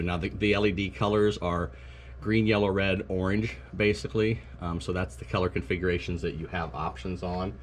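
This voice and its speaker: Low-pitched male presenter, matter-of-fact informal demo narration, light background noise. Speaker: school technology staff presenter. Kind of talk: informal product demonstration narration.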